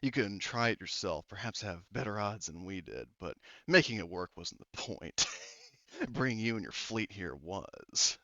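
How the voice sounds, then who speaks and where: A man voicing a smug, cruel psychic villain who speaks in a slow, low, gloating drawl. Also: sing-song, pushed and bright, no room sound, exaggerated voice. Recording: dull dry lo-fi mic.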